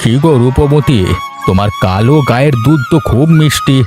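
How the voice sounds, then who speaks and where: A lecherous, smug villager from an animated folk tale, acted by a man. He taunts with a sneering drawl. Music is clearly heard underneath. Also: mid-range voice, normal speed, close to an everyday voice. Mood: oily flattery. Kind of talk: Bengali animated moral-tale voice acting. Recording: music bed behind the voice.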